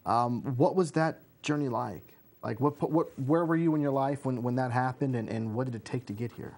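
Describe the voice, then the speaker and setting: A man's voice: medium pitch, moderate pace, darkish tone. A man in his thirties hosting a community TV interview show from a small studio desk, asking friendly, easygoing questions into a lapel mic. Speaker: host.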